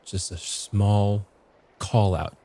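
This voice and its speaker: A man talking casually and a bit haltingly as a guest player in a tabletop roleplaying game. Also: fairly low pitch, little pitch movement, gravelly, warm tone.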